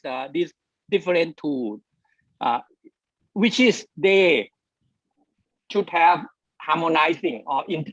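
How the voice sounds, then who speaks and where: A man with a slightly high voice, stumbling through his points with constant restarts on a webinar. The sound is dry and muffled, with no room around it, as over a lo-fi video call. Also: breathy edge, dry recording. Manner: hesitant, frequent restarts and repeated words. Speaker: webinar speaker. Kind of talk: halting online panel talk. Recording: dry, dull lo-fi call audio.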